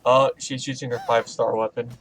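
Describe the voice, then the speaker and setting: A man's voice: medium pitch, level tone, brisk, low-quality recording. A man giving casual, playful commentary as he plays a video game, full of informal asides. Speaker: gaming video host. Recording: faint game noise behind. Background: video game sounds.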